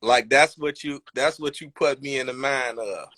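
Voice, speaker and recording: Halting man hosting a stream. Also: medium pitch, sharp tone, no echo. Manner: loose, halting, with many fillers and restarts. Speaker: live-stream host. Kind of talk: casual interview chat. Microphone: phone on a live video call.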